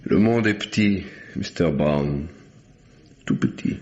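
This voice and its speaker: Casting: urbane, mocking young gentleman, extremely low husky voice, vintage radio mystery. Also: takes it slowly. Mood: wry, unruffled. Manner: urbane, hesitant, drawling politeness with wry irony. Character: suave, sly, mocking young English gentleman suspect. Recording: dull, muffled old radio recording.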